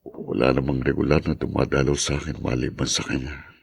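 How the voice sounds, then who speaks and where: A man's voice: very deep voice, level tone, a little fast, gravelly, husky, heavily acted. An actor playing an embittered, despairing man long in prison, in melodramatic radio drama style. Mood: bitter, resigned. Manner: brooding, anguished.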